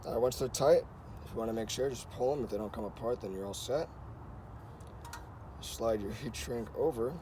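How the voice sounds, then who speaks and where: A man's voice: mid-range voice, moderate pace, long pauses, warm tone. Casual man giving a tutorial, noisy background. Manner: informal, repetitive instructional phrasing. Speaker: host of a how-to car channel. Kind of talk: casual hands-on tutorial talk.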